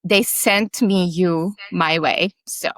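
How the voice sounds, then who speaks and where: Guest, woman, 40s, slow and halting, smooth lowish voice, dry close sound. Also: a bit bright. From Serbia. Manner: halting, searching for words with restarts. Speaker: podcast guest. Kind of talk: interview conversation.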